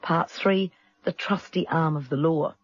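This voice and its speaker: Man, continuity announcer, formal scripted delivery, raised pitch, breathy-husky, dull radio sound. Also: fairly even intonation, unhurried, no room sound, faint music behind. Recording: slightly muffled radio recording.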